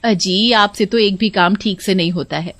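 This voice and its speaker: A woman's voice: medium pitch, moderate pace, a bit bright, dry recording. A woman voicing a cunning, nagging village wife in a cartoon, coaxing and scolding. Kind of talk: Hindi cartoon voice acting.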